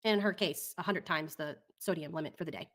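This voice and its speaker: A woman's voice: low voice, fairly quick, fairly bright tone. A woman hosting casual commentary over clips, sarcastic and skeptical, drawing out her points. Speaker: commentary channel host.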